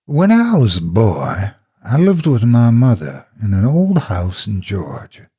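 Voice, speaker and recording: A husky-voiced man reading a scripted first-person horror story in a dramatic, measured storytelling manner. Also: lowish voice, huge pitch swings, dark tone.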